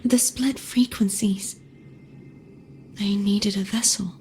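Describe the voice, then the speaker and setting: A woman voicing a gentle, solemn young woman in a video game, speaking softly and breathily with measured, weary care. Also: medium pitch, fairly even intonation, a little hiss or hum. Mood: solemn, resigned. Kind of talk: dramatic video game dialogue.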